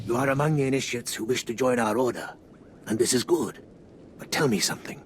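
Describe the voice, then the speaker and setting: A man playing a commanding cult elder in a video game, speaking deliberately and formally as he tests recruits. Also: medium pitch, background noise, close to an everyday voice. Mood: probing. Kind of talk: scripted video game voice acting.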